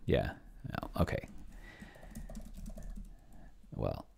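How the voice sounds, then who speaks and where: A middle-aged man thinking aloud casually at his desk, halting and murmuring as he types and reads, close to the microphone. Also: a little mellow, slight background noise. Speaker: host of a solo roleplaying experiment stream. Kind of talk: casual thinking aloud while typing and reading from screen. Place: indoor home room. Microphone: desk microphone close to the mouth.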